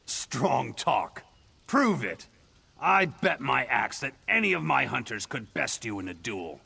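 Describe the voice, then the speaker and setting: An actor playing an arrogant, gruff male orc hunter in a video game, boastful and challenging. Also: mid-range voice, very animated, a little slow, sharp tone, full character voice. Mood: scornful, challenging. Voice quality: gruff, raspy. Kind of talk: video game character acting.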